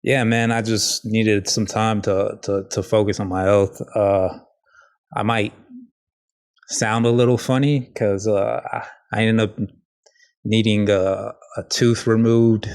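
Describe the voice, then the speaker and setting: Guy doing a solo podcast, really slow n rambling, smooth low voice, bit of a lisp. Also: little pitch movement, darkish tone. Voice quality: slight lisp. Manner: halting, rambling, informal. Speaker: podcast host. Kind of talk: casual solo podcast monologue.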